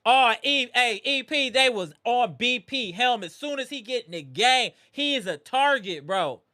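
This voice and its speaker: A man hosting a live postgame basketball reaction stream, animated and greeting viewers in the chat, his voice strained from yelling. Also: very high-pitched, a little slow, no room sound, studio quality. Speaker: livestream host.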